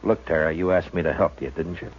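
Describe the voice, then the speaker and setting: An actor playing a gruff, steady marshal of the old West in a 1950s radio western, laconic and measured. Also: male voice, extremely low pitch, slight background noise. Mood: reassuring. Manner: laconic, calm, measured questioning. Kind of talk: 1950s radio western acting and first-person narration. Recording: dull vintage radio recording with music.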